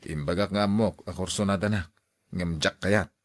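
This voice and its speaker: Radio drama role: male actor as a hot-headed, remorseful brother, low-pitched and exclamatory, dry studio sound. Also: steady tone, moderate pace, no room sound, natural voice. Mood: ashamed, defensive. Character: emotional, remorseful brother who lashes out at women.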